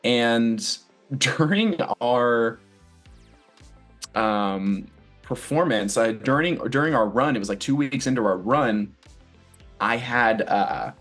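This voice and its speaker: A male stage actor talks casually as an interview guest. He is chatty and joking, rambling through what he says like a storyteller, at an ordinary male pitch. Faint music plays behind him. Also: lively intonation, slow pace, pauses often, a bit bright. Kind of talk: casual promotional interview chat.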